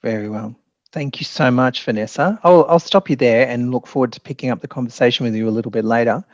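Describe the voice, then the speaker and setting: A man's voice: medium pitch, fast, darkish tone, no room sound, dull audio. A man with an Australian accent, a polite host moderating a webinar panel.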